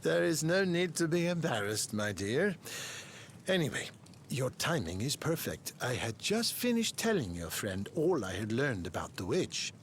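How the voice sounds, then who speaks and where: An actor playing a learned, excitable male scholar of magic in a video game, speaking formally and theatrically. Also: medium pitch, unhurried, a little hiss or hum. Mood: genial, brisk. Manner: formal, bookish, exclamatory.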